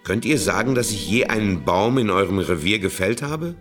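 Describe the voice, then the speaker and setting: A stubborn, guarded villager in a radio play. His low voice moves expressively between deliberate narration and tense replies, with music clearly behind him. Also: expressive, a bit bright. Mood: guarded, firm. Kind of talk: experimental radio play, alternating narration and acted dialogue.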